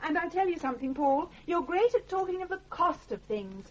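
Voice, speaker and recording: An actress playing a brisk, forthright young woman who takes charge in a radio drama, firm and commanding. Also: very high voice, big pitch swings. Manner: commanding, firm, crisp retorts. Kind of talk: 1980s radio drama acting. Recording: dull, noisy old radio recording.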